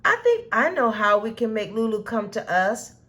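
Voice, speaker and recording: A woman's voice: fairly high pitch, very animated, normal speed, a bit bright. A woman voicing a small, eager, helpful female train engine in a children's storybook. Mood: excited. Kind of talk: children's storybook character reading.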